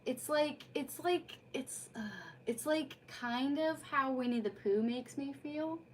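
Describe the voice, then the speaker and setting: A woman's voice: sits high, slow, slight background noise. A woman chatting casually on a livestream in an effusive, rambling way, as host of a read-aloud. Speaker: streamer hosting a live read-aloud.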